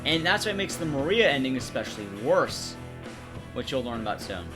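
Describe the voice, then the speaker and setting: A man's voice: mid-range voice, big pitch swings, moderate pace, music in the background. A man giving casual, conversational live stream commentary on a game, explaining things directly to his viewers. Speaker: streamer and horror game speedrunner.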